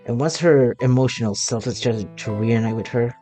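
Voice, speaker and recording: A gaming content creator giving casual let's play commentary in a halting, rambling way. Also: mid-range voice, dark tone, faint music behind.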